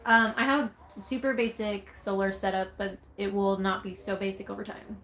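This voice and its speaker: A woman with a mild Southern drawl talks to her viewers in a relaxed, upbeat way. Her voice sits at a middle pitch, and her delivery is frank and conversational. She reads their comments aloud and replies to them as she goes. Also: normal speed, a little hiss or hum. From Southern United States. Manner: chatty, upbeat, candid. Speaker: vlogger. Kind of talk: casual chat reading viewer comments.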